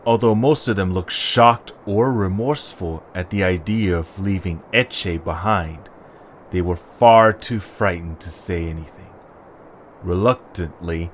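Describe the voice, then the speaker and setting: A man's voice: sits low, a little hiss or hum. A man narrating a scripted story for an audio drama.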